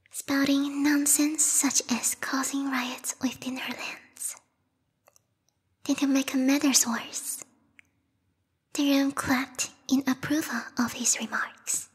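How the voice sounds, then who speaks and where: A woman voicing a bloodthirsty, refined bat girl royal guard in a whispered ASMR roleplay, prim and courtly with quiet menace. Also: high-pitched, long reverb tail, heavily acted. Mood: indignant, fervent. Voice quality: breathy, whispery. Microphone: close binaural microphone.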